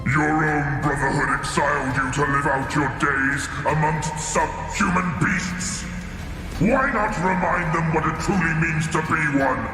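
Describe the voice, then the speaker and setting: A man's voice: on the high side, close to an everyday voice. A man playing a taunting, tempting demon of a war god in an audio drama, with menacing, grandiose delivery in a vast echoing space. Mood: tempting, goading. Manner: slow, menacing, grandiose declamation. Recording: cavernous echoing reverb over loud music.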